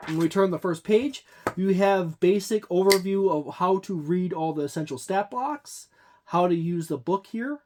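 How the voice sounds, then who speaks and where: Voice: medium pitch, a little slow, long pauses, a little mellow. A tabletop game reviewer giving a book overview, hesitant, with frequent fillers and restarts.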